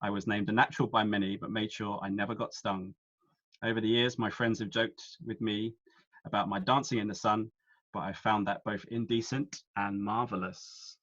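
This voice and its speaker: A man hosting a quiz over a video call, reading each clue slowly and then again. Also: lowish voice, little pitch movement, fairly bright tone, dull audio. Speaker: quiz host.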